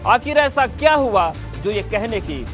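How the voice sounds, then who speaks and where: A man's voice: sits high, big pitch swings, measured pace. A man presenting television news from a dramatic script, as the anchor of the programme. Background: music bed.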